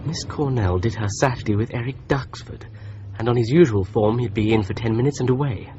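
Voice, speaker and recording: An actor playing a man who thinks through a murder case aloud in a calm inner monologue while driving. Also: mid-range voice, fairly quick, lo-fi sound, noisy background, close to an everyday voice. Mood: musing. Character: thoughtful male amateur sleuth driving. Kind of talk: radio drama.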